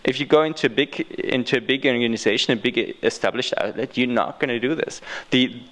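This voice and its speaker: A man's voice: mid-range voice, a little fast, a bit bright, poor audio. A man from Austria with an Austrian accent, speaking as a guest and answering questions unscripted, often repeating and restarting his phrases. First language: German.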